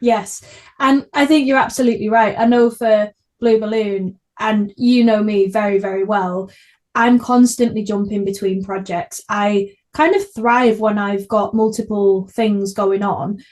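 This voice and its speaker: A woman's voice: mid-range voice, fairly even intonation, measured pace, a little mellow. A young woman hosting a casual podcast interview in a chatty, supportive way. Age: young adult. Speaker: podcast host, theatre creative.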